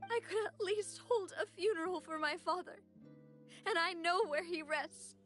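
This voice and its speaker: A woman playing a sincere, big-hearted young gentlewoman in an anime video game, with an expressive delivery. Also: sits high, unhurried. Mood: grieving. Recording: background music under dialogue.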